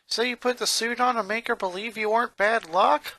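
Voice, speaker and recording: A helpful, nerdy preteen boy, acted by an adult narrator, full of questions. The voice is raised and bright, with a dry sound, like a friendly cartoon sidekick. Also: medium pitch, fairly even intonation, fairly quick, no room sound, pitched above the performer's own voice. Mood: curious.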